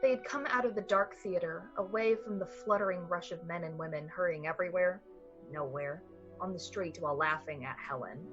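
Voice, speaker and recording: A woman with a breathy voice delivering scripted, dramatic narration for a suspense radio play. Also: fairly low pitch, brisk, lo-fi sound, music in the background. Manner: dramatic, literary storytelling.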